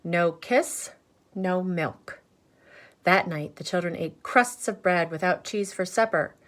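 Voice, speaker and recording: A woman reads a children's story aloud at a slow, careful pace. She is expressive and teacherly, often stepping out of the text to chat with her listeners. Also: on the low side. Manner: animated, teacherly, pauses for questions. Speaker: storyteller reading a picture book aloud.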